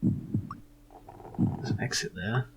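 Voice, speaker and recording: A man's voice: very slow, background noise. A man giving casual, musing commentary as he plays a game. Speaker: let's play host. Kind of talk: casual game commentary, reading lines aloud.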